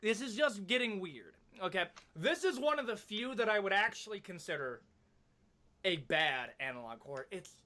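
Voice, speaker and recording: A man's voice: high voice, animated, moderate pace, pushed and bright. A man giving casual, opinionated video commentary in an emphatic, slangy, conversational way.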